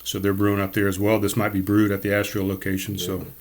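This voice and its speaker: A man hosting a laid-back beer podcast. His big voice projects over everyone else in the room. He rambles with lots of ums and uhs, and his pitch sits low. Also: monotone, fast. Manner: loud, projecting voice; rambling, with many fillers. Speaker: podcast host. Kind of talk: casual chat.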